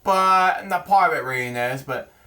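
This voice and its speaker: A man hosts a storybook read-aloud in a bright, forceful voice pitched a little high. He stumbles over words and restarts often. His delivery rambles between reading and casual chat. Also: moderate pace.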